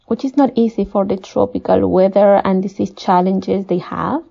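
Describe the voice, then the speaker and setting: Female guest expert, Peruvian Spanish accent, gravelly edge, measured formal explanations, slightly muffled recording. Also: medium pitch, steady tone, mellow. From Peru. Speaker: guest, poultry nutritionist. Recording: dull, muffled lo-fi audio.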